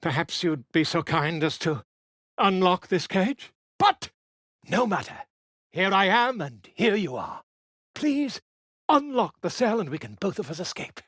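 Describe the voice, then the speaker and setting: Pompous, theatrical bard, wildly animated. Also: male voice, high-pitched, unhurried, no room sound, clean studio sound, exaggerated voice. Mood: pleading, ingratiating. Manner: pompous, florid, effusive diction. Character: flamboyant, self-important male bard and author, theatrical and excitable. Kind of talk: fantasy video game voice acting.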